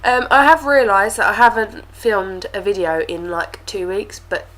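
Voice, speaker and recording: A woman's voice: medium pitch, steady tone, normal speed, fairly bright tone, poor audio. A young woman reviewing beauty products to camera, talking casually and sounding stuffy from a cold. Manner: chatty, with lots of fillers and repeated words. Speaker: beauty vlogger.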